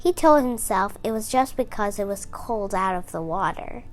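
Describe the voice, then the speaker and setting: A woman reading a children's picture book aloud in a slow, expressive manner. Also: mid-range voice. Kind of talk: kids' storybook read-aloud.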